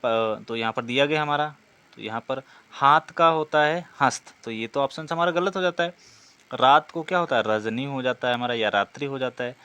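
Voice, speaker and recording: A man's voice: mid-range voice, moderate pace, pushed and bright, clean tone. A man teaching an exam practice lesson, reading out questions and explaining the answers in a didactic way, often asking and answering himself.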